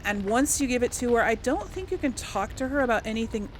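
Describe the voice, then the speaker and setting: A woman's voice: fairly high pitch, animated, fairly quick, slight background noise. A woman hosting a casual role-play let's play, speaking in a hesitant, thoughtful way. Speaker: gaming video host and role-player.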